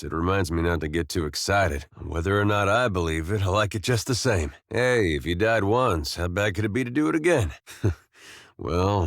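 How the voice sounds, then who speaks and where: A deep male voice, recorded very dry, a farmer turned adventurer in a video game. He is gentle and loyal, with some weariness of the world in him. He talks plainly and folksily, understating things with hesitant, dry humor. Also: no echo. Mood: earnest, musing. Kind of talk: video game companion dialogue.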